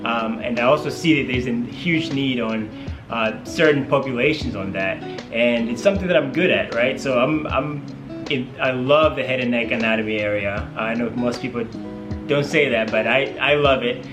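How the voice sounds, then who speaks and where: A man's voice: mid-range voice, normal speed, roomy sound, over music. A young man from Tanzania with a Tanzanian accent and a Swahili mother tongue, a medical student chatting eagerly with many fillers as an interview guest. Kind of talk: casual interview.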